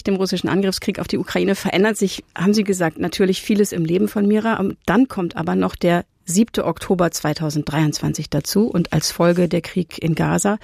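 Woman, interviewer, low pitch, gravelly, husky, articulate, dry sound. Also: a little mellow, no room sound. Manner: articulate, reads quotes aloud, laughs lightly. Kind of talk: literary interview.

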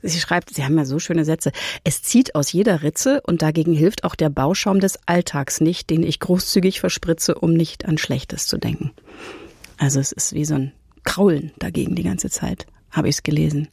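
A woman's voice: low voice, normal speed, gravelly, darkish tone, slightly rough, no echo, clean studio sound. A woman hosting a literary interview, asking articulate questions and reading passages from the book aloud. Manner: articulate, reads quotes aloud, laughs lightly.

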